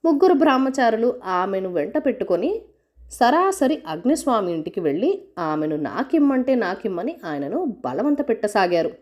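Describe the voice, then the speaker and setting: A woman narrates a folk tale for children in a fairly high voice. Her intonation moves up and down in an animated way as she tells the scripted story. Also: normal speed, muffled recording.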